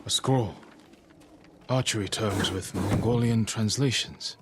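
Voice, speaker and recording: A low-voiced, earnest young samurai. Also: male voice, slow pace, noisy background. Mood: probing. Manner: probing, insistent. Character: young male samurai, earnest and insistent questioner. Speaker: samurai student. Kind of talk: video game cutscene acting.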